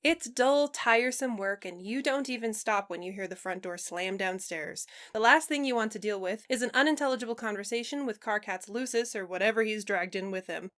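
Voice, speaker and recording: A woman reading fan fiction aloud as a narrator, in an expressive scripted storytelling style. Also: mid-range voice, brisk, hardly any pauses.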